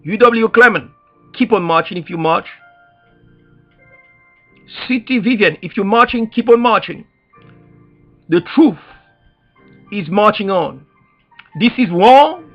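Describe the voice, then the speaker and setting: A man's voice: sits high, expressive, slow pace, pauses often. A man with a Haitian accent speaking as an activist in an impassioned, preacher-like address, emphatic and repetitive, leaning on rhetorical questions. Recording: dull lo-fi sound, faint music behind.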